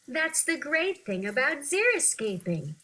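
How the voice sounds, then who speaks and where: A woman reads aloud to young learners with an animated, teacherly delivery. Her voice is fairly high and bright, with lively swings in pitch and clearly shaped phrases. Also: unhurried. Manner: animated, clearly phrased, acting out questions and answers. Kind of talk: expressive read-aloud of an interview text for children.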